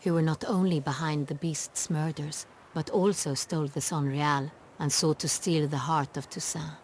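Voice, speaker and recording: A woman playing a poised, earnest noble ruler in a fantasy video game, with formal and measured phrasing. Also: deep voice, steady tone, slight background noise, natural voice. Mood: uneasy. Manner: formal, measured, precise wording. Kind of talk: video game dialogue acting.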